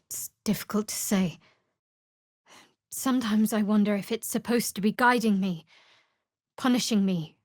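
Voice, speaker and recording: A woman playing a guarded, sardonic young cleric of a dark goddess in a fantasy video game, speaking in a dry, measured, wry way. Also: mid-range voice, dry recording, natural voice. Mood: pensive.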